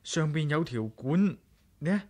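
An actor playing an inquisitive, determined adventurer in a Cantonese radio drama, questioning and insistent in manner. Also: male voice, on the high side, lively intonation, unhurried, darkish tone. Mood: excited, curious. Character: inquisitive, determined adventurer hero, a grown man.

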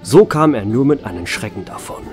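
A man voices a calm bar owner who tells his own tale in measured first person. His voice sits mid-range, over music in a radio drama. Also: medium pitch, lightly acted. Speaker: narrator and bar owner Dave.

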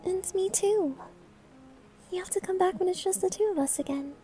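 A woman's voice: extremely high pitch, moderate pace, warm tone. A woman playing a sweet, bubbly, clumsy young maid in an ASMR roleplay, with a cutesy, teasing, eager manner. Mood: sweet, pleading.

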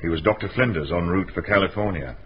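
Actor as a deep-voiced, shrewd police inspector. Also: male voice, close to an everyday voice. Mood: composed, probing. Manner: formal, measured, explanatory. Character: shrewd, composed male police inspector in a mystery. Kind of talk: radio mystery drama acting. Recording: muffled radio recording, faint noise.

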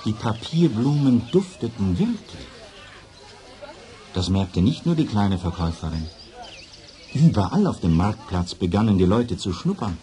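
A man's voice: on the low side, sing-song, unhurried, warm tone. A man narrating a children's radio play as a storyteller. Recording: faint noise of vintage record.

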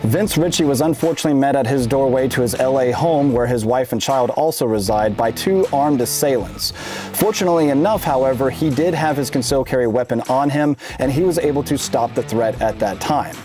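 A man's voice: mid-range voice, brisk. Dramatic male intro narrator over music. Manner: dramatic announcer phrasing.

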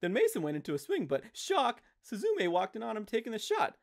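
A woman's voice: fairly high pitch, wildly swooping pitch, fairly quick, darkish tone. A woman playing a glib, self-admiring showboat sidekick in an anime-style game, chatty and flippant. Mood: smug, chatty.

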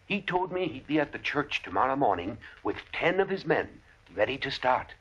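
A man's voice: medium pitch, big pitch swings, normal speed, a bit bright, slightly rough. An actor playing an anxious, conciliatory townsman in an old-time radio Western, earnest and cautious. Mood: anxious, pleading. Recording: dull vintage radio recording.

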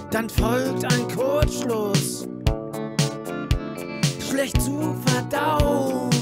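Female singer, high pitch, drawn-out slow phrasing, loud music. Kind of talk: singing a song.

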